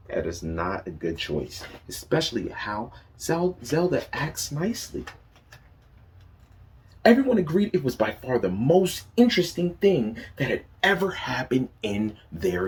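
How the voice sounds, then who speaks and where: A man reading a picture book aloud to young children as a teacher, asking them questions and praising their answers. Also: fairly high pitch.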